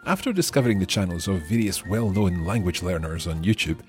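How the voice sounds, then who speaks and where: A man's voice: deep voice, expressive, brisk, faint music behind. A man hosting a podcast, reading a scripted intro and then chatting with a guest in a friendly way, with frequent chuckles. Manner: friendly, chuckles often, some hesitant fillers. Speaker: podcast host and interviewer. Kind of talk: scripted intro then relaxed interview chat.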